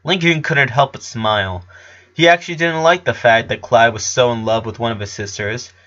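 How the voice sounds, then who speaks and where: Bright, forceful male story narrator. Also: medium pitch, normal speed, lo-fi sound.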